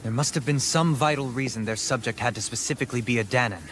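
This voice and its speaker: An actor voicing a composed, scholarly nobleman in a game cutscene, speaking in a measured, formal, analytical way. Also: mid-range voice, a bit bright, a little hiss or hum, lightly acted. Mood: grave, analytical. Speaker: video game character voice actor. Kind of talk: dramatic game cutscene dialogue. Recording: music behind the voice. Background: dramatic game music.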